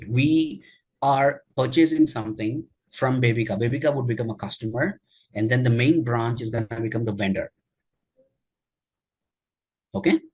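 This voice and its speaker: A man teaching a software tutorial during a screen share, explaining each step with frequent fillers and check-ins. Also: medium pitch, breathy edge. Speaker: software trainer.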